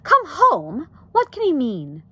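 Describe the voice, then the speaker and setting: An anxious storybook mother, acted out by a woman reader, very high and whimpering. She frets and scolds in folksy, ungrammatical speech, her pitch swooping widely. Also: very animated, measured pace, fairly bright tone, no echo, full character voice. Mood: alarmed. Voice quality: whimpering, wailing. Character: anxious tearful fretting mother, excitable and scolding. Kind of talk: storybook read-aloud.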